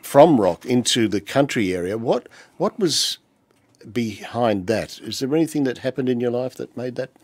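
A man's voice: medium pitch, lively intonation, moderate pace, darkish tone, no room sound. A man asking questions as a conversational interviewer.

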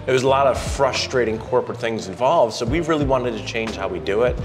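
A man in his thirties, co-owner of a bike shop, chatting casually and joking, quick to agree. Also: mid-range voice, over music. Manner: fast, joking, eager agreement. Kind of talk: casual sit-down conversation.

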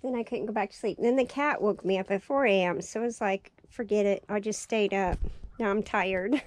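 A woman walking through her greenhouse, chatting cheerfully about her seedlings, her voice raspy and tired from lack of sleep. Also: mid-range voice, normal speed, a little mellow, no room sound. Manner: chatty, cheerful, thinking aloud. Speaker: farm vlogger. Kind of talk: casual walkthrough narration. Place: small greenhouse, indoors.

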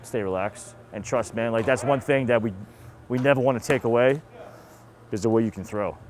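A man's voice: mid-range voice, brisk. A man with a Massachusetts accent coaching baseball catching drills in casual, encouraging, slangy bursts. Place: outdoor batting cage and bullpen.

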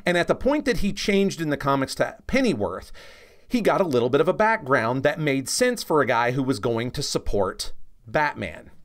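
A man's voice: mid-range voice, very animated, moderate pace. A man co-hosting a podcast, explaining casually and with opinions, often checking in with the listener. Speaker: podcast co-host and comics expert.

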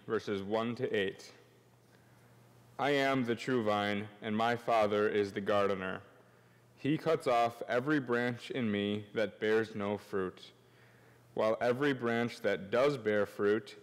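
A young man reading scripture formally and solemnly in a church service, measured, speaking into a lectern microphone. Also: fairly low pitch. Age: young adult. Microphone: gooseneck lectern microphone. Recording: reverberant church sanctuary.

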